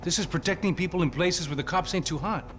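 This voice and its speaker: A man's voice: high-pitched, fast talker, lightly acted. A man playing a persuasive, streetwise ex-police buddy in a video game, familiar in manner. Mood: coaxing. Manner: coaxing, familiar. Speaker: game sidekick. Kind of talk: video game drama dialogue. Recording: dramatic music under the voice.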